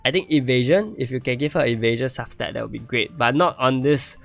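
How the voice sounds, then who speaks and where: A man's voice: medium pitch, brisk, gravelly, fairly bright tone, soft background music. A man streaming a game and reviewing viewer accounts, thinking aloud and repeating himself as he starts to lose his voice. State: losing his voice. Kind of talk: casual live-stream commentary.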